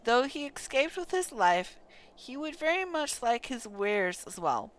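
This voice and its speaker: A woman livestreaming a game playthrough, reading dialogue aloud in a theatrical, deliberate way with muttered asides. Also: a little high, big pitch swings. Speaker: game streamer.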